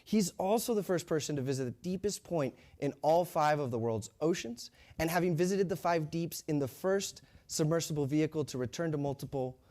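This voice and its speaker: A man co-hosting a live launch broadcast, reading crew introductions with steady, polished delivery. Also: on the high side, brisk, few pauses. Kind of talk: scripted live broadcast commentary.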